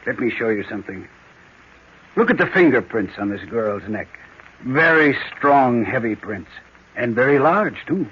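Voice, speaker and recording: An actor playing a smug, playful French detective, teasing and questioning theatrically in an old-time radio mystery. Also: male voice, medium pitch, expressive, normal speed, a bit bright, a little hiss or hum, natural voice. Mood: confident. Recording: dull muffled old radio recording.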